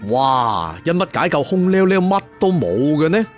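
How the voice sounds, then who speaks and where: A man's voice: on the high side, very animated, moderate pace. A man narrating a fantasy tale in a colloquial, dramatic storyteller style with chatty asides. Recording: music behind the voice. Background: background music.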